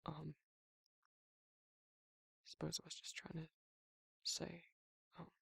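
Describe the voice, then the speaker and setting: A British man playing a protective but awkward male werewolf in an intimate romantic ASMR roleplay, speaking in a halting, flustered, husky voice. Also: on the high side, completely flat delivery, pushed and bright, no room sound, full character voice. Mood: nervous, bashful. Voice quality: gravelly, husky, breathy. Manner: flustered, halting, stammering, trailing off.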